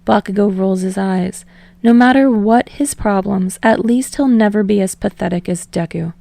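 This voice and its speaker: A woman's voice: medium pitch, normal speed, vocal fry, warm tone, dry recording. A woman reading a story aloud as a scripted audiobook narration. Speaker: fan fiction audiobook narrator.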